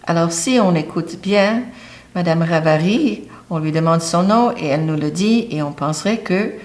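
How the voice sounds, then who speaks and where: A woman's voice: lowish voice, normal speed, a little mellow. A woman lecturing on a medical topic, explaining step by step and pointing to a diagram. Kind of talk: teaching lecture.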